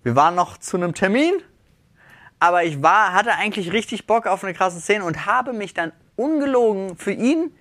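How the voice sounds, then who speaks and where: Man, podcast co-host, casual chat, animated venting, fairly high voice, dry studio sound. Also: moderate pace, no echo. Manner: animated storyteller, rises sharply when venting.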